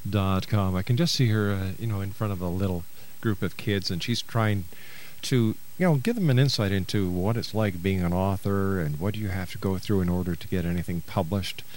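A man hosting a radio talk show in a chatty, courteous, slightly hesitant conversational way. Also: on the low side. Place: radio studio.

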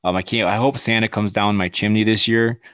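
Lowish, blunt male podcast co-host. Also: steady tone, fairly quick, fairly bright tone, clear, resonant tone, no room sound, studio quality. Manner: blunt, crude, offhand. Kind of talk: crude casual chat.

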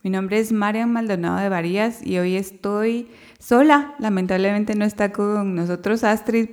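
A woman's voice: mid-range voice, darkish tone. Woman hosting a faith podcast. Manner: hesitant, many fillers and restarts. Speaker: podcast host.

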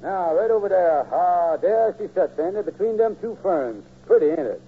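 An actor playing a scheming, smooth-talking hustler with folksy, ungrammatical patter in a 1940s radio comedy. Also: male voice, sits high, lively intonation, moderate pace. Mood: boastful, persuasive. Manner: folksy ungrammatical sales patter, blustering excuses. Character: scheming, smooth-talking male lodge hustler pitching a bogus deal. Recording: noisy, narrow-band old radio recording.

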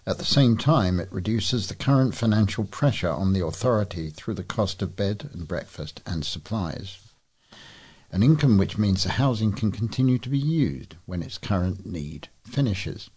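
A man's voice: fairly low pitch, expressive, moderate pace, darkish tone, husky. A man reading local news aloud from a script as a volunteer newsreader.

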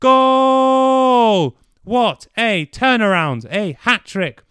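A man voicing an excited male football commentator calling a goal in breathless play-by-play. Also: very high voice, drawn-out words, smooth, dry recording, studio quality, voice pushed up, exaggerated voice.

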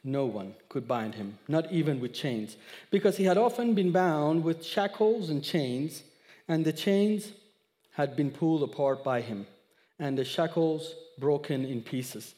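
A man in his thirties from Iran, speaking English with an Iranian accent, giving an expressive sermon that mixes scripture with his own testimony. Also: fairly high pitch, a little slow, long reverb tail. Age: 30s. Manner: expressive storyteller, acts out dialogue, audience laughter. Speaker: guest speaker.

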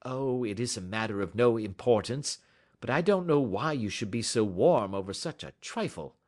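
A man voicing a shrewd, calm detective who speaks in a measured, offhand way in an audiobook story. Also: medium pitch, voice pushed up, close to an everyday voice. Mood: cool. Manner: calm, measured, careless-seeming. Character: cool, shrewd male detective, middle-aged.

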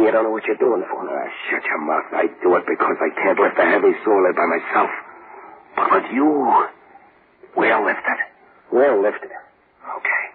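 An actor playing a tough, scheming convict in a 1940s radio drama, with gruff slangy talk. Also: male voice, lowish voice, close to an everyday voice. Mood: insistent. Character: tough, scheming male convicts plotting a prison escape. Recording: noisy, muffled 1940s radio recording.

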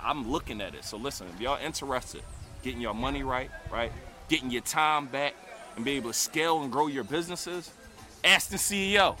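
A man's voice: fairly high pitch, bright, forceful tone. A man giving a hyped endorsement, emphatically urging listeners directly. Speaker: guest in a promo spot.

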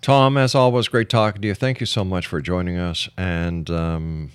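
A man's voice: sits low, steady tone, normal speed, clear, resonant tone, no echo. A man hosting a talk radio interview show in a courteous, announcer-like manner. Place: broadcast studio.

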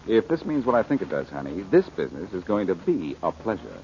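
An American actor playing a wisecracking, cocky amateur detective in 1940s radio drama style, dry and flippant. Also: male voice, sits low, huge pitch swings, brisk, over loud music, full character voice. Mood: defiant, dry. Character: wisecracking amateur detective pressed into bodyguard work. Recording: muffled, noisy old radio recording.

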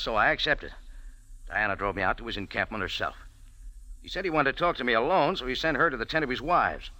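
A man's voice: fairly low pitch, sharp tone, no echo, poor audio. An actor playing a tough, wry cafe owner who narrates his own adventure in 1940s radio style. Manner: tough, wry, quick wisecracks. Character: hard-boiled cafe owner, wisecracking narrator. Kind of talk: 1940s radio acting and first-person narration.

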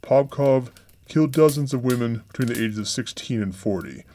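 A man hosting a podcast, reading a scripted true-crime story in a measured, somber way. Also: medium pitch, dark tone. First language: English. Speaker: podcast host.

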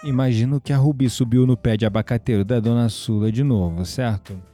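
An actor playing a calm, kindly, mystical shopkeeper in an audio drama, with a Brazilian accent and a soft, unhurried, reflective way of talking. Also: male voice, medium pitch, soft background music, close to an everyday voice. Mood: calm, amused. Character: calm, kindly mystical young man, incense shop keeper.

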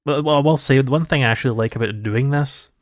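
A man's voice: medium pitch, fast, dry recording. A man chats loosely on a gaming podcast, drifting between thoughts and laughing often. His voice sits in a middle register. The sound is dry and close, with no room around it. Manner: chatty, rambling, laughs easily. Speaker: podcast co-host. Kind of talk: casual chat.

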